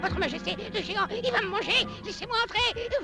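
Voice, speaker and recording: A man voicing a tiny, blustering, cowardly male Lilliputian servant in an old animated film, stammering and squeaky. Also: brisk, creaky. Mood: panicked, indignant. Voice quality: shrill, squeaky. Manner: stammering, pleading, indignant bluster. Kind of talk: 1930s animated film dub. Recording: old film soundtrack with loud music.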